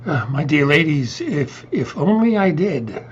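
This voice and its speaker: A flustered, polite funeral director as a man acts him in a stage comedy. He stammers and hesitates at a slow pace, and the recording is dull with faint noise. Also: fairly high pitch, big pitch swings. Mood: bewildered. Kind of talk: comedic readers theater acting. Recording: dull muffled audio with faint hum.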